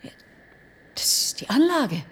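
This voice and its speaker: A woman's voice: high-pitched, sing-song, measured pace, slight background noise. An actress playing an adventurous, alert female assistant in a radio play. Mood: wary, curious. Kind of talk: German radio play acting.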